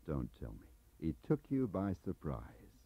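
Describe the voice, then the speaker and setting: A sardonic investigator played by a male reader probes with blunt, needling questions. The voice is low and husky, on a muffled audiobook cassette. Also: wildly swooping pitch, unhurried, full character voice. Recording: dull lo-fi cassette transfer.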